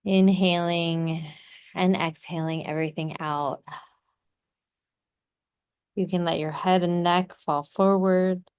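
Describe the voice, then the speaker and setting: A woman guides a meditation in a smooth, clear voice that sits a little low. She speaks very slowly and soothingly, leaving space between short phrases as she gives gentle instructions. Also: steady tone.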